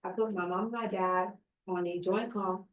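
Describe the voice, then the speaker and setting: A woman's voice: on the low side, fairly even intonation, moderate pace, muffled recording, slight background noise. A young woman in her twenties, a recent college graduate, speaking earnestly and expressively in a casual conversation. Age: 20s. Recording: roomy sound, somewhat distant mic.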